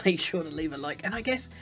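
A man's voice: on the high side, expressive, fairly quick, fairly bright tone, faint music behind. A man playing an earnest, protective young superhero father in a hesitant, improvised game roleplay. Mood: cheerful. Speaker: host.

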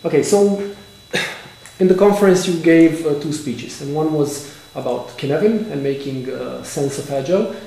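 A man with a fairly high but mellow voice asks his questions haltingly, searching for words. The room is very echoey and a faint ambience hangs behind him. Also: vocal fry. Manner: hesitant questions with fillers. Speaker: interviewer. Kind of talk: conference interview.

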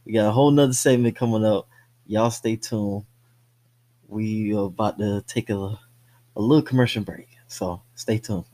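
A man's voice: on the low side, normal speed, dark tone. A man co-hosting a movie podcast, talking casually in slang and swearing freely. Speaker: podcast co-host.

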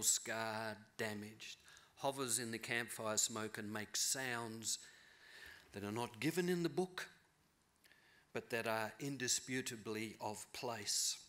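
A middle-aged man giving a keynote lecture from a podium in a large auditorium, thoughtful and measured, often rephrasing himself. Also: lowish voice, bright, forceful tone. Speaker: keynote speaker, novelist. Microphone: podium mic.

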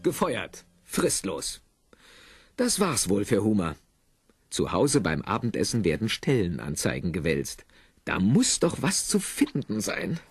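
A man narrating a comedy audio drama in an animated, wry way with rhetorical questions. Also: lowish voice, a little slow, breathy edge. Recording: music under the voice. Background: music.

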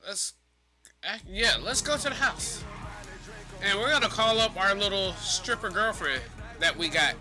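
A young man with a stuffy, congested voice talks through a video game session in a loose, rambling way, dropping the odd curse. His voice sits a little high for a man. Game music plays clearly underneath him. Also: measured pace. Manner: loose, rambling, swears casually. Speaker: gaming commentator. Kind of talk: casual gameplay commentary.

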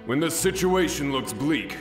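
A man's voice: a little high, animated, measured pace, reverberant, music in the background. A man playing a fervent, hearty samurai warrior in a video game, rousing and devoted to his ruler. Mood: reverent, hopeful. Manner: rousing, reverent.

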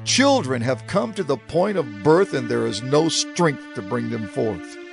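A man's voice: medium pitch, sing-song, a little slow, vocal fry, soft background music. A man playing a grave, distressed royal envoy, earnest in his appeal, in a dramatized scripture recording.

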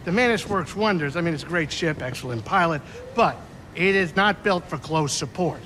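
An actor playing a small, fretful older alien pilot in a video game, with nervous, chattering delivery. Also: male voice, fairly high pitch, expressive, normal speed, pushed and bright, slight background noise, rough recording. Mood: nervous, protesting. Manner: nervous, repetitive, protesting chatter. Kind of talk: comic-relief video game acting.

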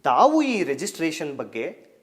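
Male legal expert, Karnataka accent, slightly high voice, very varied intonation, explains with emphasis. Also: huge pitch swings, a little slow. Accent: Indian, Karnataka. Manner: emphatic, mixes English terms into speech. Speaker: lawyer, expert guest. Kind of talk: explanatory interview answers.

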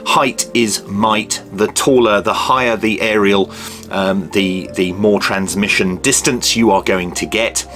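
A man's voice: fairly low pitch, fairly even intonation, a little slow, a bit bright, faint music behind. A British man in his fifties giving an informal, hesitant, unscripted how-to demonstration as a practical presenter. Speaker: presenter of a radio how-to video. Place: small home office or workshop room.

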